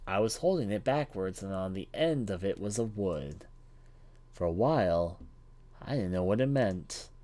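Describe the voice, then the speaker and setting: A man's voice: sits low, animated, normal speed, warm tone. A man reading a visual novel aloud as a let's play commentator, telling it dramatically and sometimes stumbling over words. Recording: music behind the voice. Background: visual novel music.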